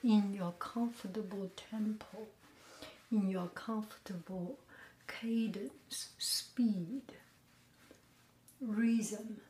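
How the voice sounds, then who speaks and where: Slow, half-whispering woman, roomy sound. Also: mid-range voice. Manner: gentle, half-whispered, relaxed delivery. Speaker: podcast host. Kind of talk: soft, slow casual chat. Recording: roomy echo with faint noise.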